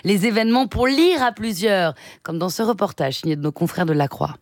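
A woman's voice: mid-range voice, a little fast, noisy background. A woman presenting a light scripted radio column in a wry, conversational way.

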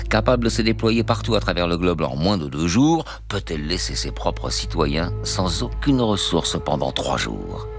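Very deep-voiced male documentary narrator. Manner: measured, serious. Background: music.

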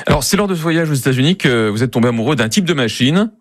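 A man interviewing a guest on radio, asking brisk, friendly questions. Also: medium pitch, lively intonation, dry recording, clean studio sound.

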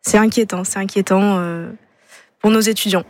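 A woman's voice: medium pitch, fairly even intonation, moderate pace. Hesitant young woman, radio guest. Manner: hesitant, frequent filler sounds. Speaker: student charity vice-president. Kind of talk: radio interview answers.

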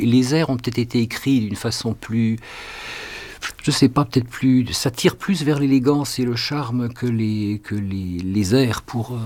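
A man's voice: medium pitch, darkish tone. A middle-aged man, a singer being interviewed on radio, talking in a hesitant way with many restarts and fillers. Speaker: guest singer.

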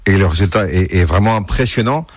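A man's voice: sits low, a little fast, dry recording. A man working as a radio reporter, interviewing a guest politely with some hesitation.

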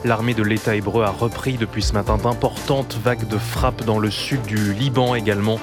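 Guy reading radio headlines, brisk, music bed under. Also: fairly low pitch, fairly even intonation. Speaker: news reader. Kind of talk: news bulletin reading.

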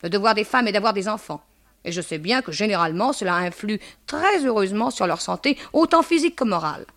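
A woman's voice: mid-range voice, expressive, fast talker, fairly bright tone. A woman playing a vain, self-adoring young pregnant woman in a literary drama, declaiming between tender crooning and scorn. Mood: brisk, assertive.

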